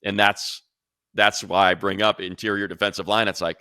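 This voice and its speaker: A man's voice: fairly low pitch, little pitch movement, normal speed, bright, forceful tone, clean tone, no echo, clean studio sound. A man hosting an unscripted sports talk show, speaking emphatically and repeating phrases to drive points home.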